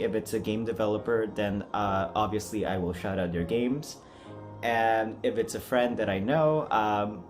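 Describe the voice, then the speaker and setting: A low-voiced man talks to his viewers in an off-the-cuff vlog style. He rambles along in a self-deprecating, apologetic manner. Music plays clearly behind him. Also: a little mellow. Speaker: amateur voice actor and video host. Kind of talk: casual unscripted vlog chat.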